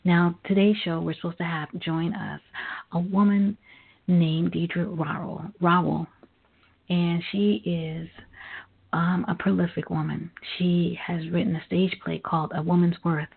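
A woman hosting a live internet talk radio show with a halting, reflective delivery. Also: lowish voice, level tone, creaky, breathy edge. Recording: phone-like lo-fi internet radio line.

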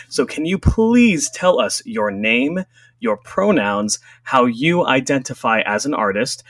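A man co-hosting a casual podcast, teasing and dry in his humor. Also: no room sound. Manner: dry, teasing, drops low when joking. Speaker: podcast co-host. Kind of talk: casual podcast chat and interviewing.

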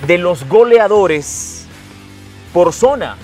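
A man's voice: high voice, expressive, drawn-out words, darkish tone, faint music behind. A man hosting a casual sports chat, playful, laughing often and reading viewer questions aloud. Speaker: host.

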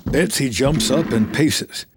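A man narrating a film script in the present tense with measured, descriptive delivery over ambient sound. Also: mid-range voice, noisy background.

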